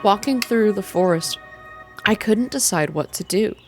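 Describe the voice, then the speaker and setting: A woman reading a story aloud in a soft-spoken, measured, atmospheric ASMR style. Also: mid-range voice, animated, over music. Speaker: reader of a video game story.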